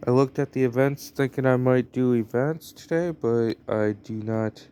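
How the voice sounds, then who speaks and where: A man's voice: medium pitch, steady tone, normal speed, dark tone, clear, resonant tone. A young American man casually commenting on a mobile game he is playing, rambling and hesitant with lots of fillers. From United States. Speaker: gameplay commentator.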